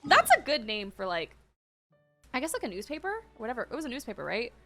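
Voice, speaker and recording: A woman's voice: on the high side, big pitch swings, fast talker, sharp tone, soft background music. A woman streamer giving casual, gushing reaction commentary on a game, repeating words for emphasis. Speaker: gaming streamer.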